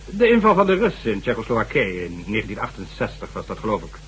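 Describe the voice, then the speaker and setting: A man's voice: lowish voice, wildly swooping pitch, fast, poor audio. An actor playing a stern, sardonic government official in a radio drama, formal and condescending as he lectures. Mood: grave, recounting. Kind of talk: Dutch radio drama acting. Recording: faint hum and noise, old radio recording.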